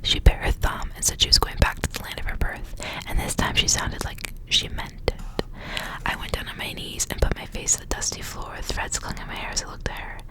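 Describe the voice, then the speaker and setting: A woman speaking in a soft, breathy whisper, hushed like an ASMR reader sharing a story. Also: fairly quick, rough recording. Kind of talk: whispered ASMR story reading with casual asides.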